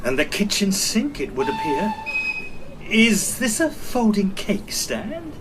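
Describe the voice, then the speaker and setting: A man's voice: high-pitched, big pitch swings, slow, noisy background, exaggerated voice. A man playing a courteous, slightly flustered Edwardian house agent in an audio drama, speaking formally in an English accent. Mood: wry. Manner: formal, fussily polite, theatrical. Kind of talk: audio drama acting with first-person narration.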